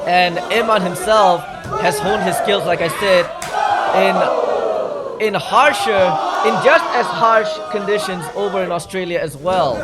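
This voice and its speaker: A man giving live play-by-play wrestling commentary as a commentator, measured and building his phrases for emphasis. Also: sits high. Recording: noisy arena recording with loud crowd. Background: loud wrestling crowd.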